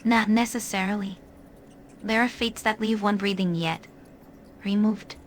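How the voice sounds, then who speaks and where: A sly, seductive female dark creature from a fantasy game, voiced by a woman, speaks at a middling pitch. She is ancient, cruel and elegantly teasing. Faint game ambience sits behind her. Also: fairly even intonation, a little slow, slight background noise, natural voice. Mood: coolly persuasive. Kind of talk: in-character game companion dialogue.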